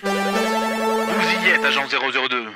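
A man's voice: medium pitch, animated, measured pace, natural voice. Man playing spy handler, smug, theatrical, game narration, music behind. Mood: instructing. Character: theatrical male spy handler, smugly instructing. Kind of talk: radio comedy game narration.

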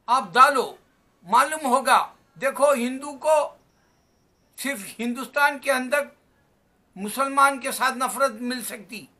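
High-pitched, forceful older man. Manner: emphatic, exhortatory, measured phrases. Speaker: religious vlogger. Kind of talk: informal religious talk to camera. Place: small indoor room at home. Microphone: webcam or phone at arm's length.

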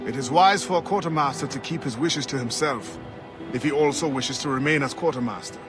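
An actor playing a resolute former pirate captain turned assassin in a video game, with a grave, deliberate, commanding manner. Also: male voice, medium pitch, music in the background, close to an everyday voice. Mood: reflective, firm. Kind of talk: dramatic video game acting.

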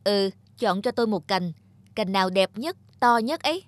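A woman's voice: mid-range voice, expressive, moderate pace, dry recording, close to an everyday voice. A woman playing a pushy, scornful middle-aged female customer in a cartoon. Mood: demanding. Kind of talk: animated cartoon dialogue.

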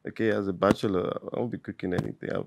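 An actor playing a tired young husband who tries to calm his wife and avoid a fight. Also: sits low, very fast, vocal fry, lo-fi sound, background noise. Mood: placating. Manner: weary, placating. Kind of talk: scripted web drama.

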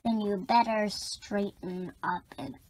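A woman voicing a stern, weary creature father in a children's storybook, measured and admonishing. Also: mid-range voice, steady tone, a little mellow, slight background noise, natural voice.

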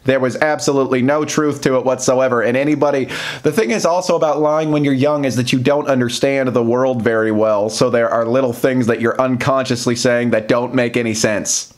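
A man's voice: mid-range voice, steady tone, fairly quick. An American man in his thirties talking casually to the camera in a rambling, self-deprecating, confessional monologue. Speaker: vlogger. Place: small plain room indoors.

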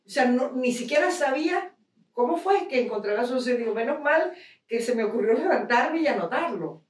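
A woman's voice: high-pitched, lively intonation, fairly quick. Hesitant Venezuelan woman, distant and echoey. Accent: Venezuelan Spanish. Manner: hesitant, many restarts. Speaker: audience member. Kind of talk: question from the audience.

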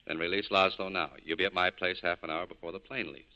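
An actor playing a cool, cynical nightclub owner in clipped, hard-boiled 1940s radio style. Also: male voice, sits low, steady tone, fast talker, bright, forceful tone, lo-fi sound. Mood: businesslike. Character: tough, cool cynical male nightclub owner scheming an escape. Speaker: lead actor in a radio drama.

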